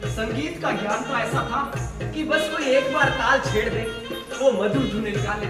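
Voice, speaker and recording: A woman's voice: a little high, moderate pace, close to an everyday voice. A woman in a Hindi stage play, playing an admiring male folk artist full of nostalgia. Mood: admiring, nostalgic. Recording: distant echoey auditorium with loud music.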